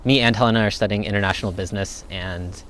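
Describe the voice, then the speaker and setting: A man's voice: lowish voice, fairly even intonation, fast talker, a bit bright, slight background noise. A young man answering viewer questions in a casual, rambling chat, thinking aloud as he goes. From United States. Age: young adult.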